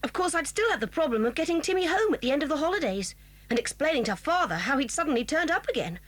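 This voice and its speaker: High-voiced headstrong British tomboy. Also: female voice, big pitch swings, quick pace, no room sound. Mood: anxious, resolute. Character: determined, headstrong tomboy girl adventurer. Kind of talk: children's audio drama acting.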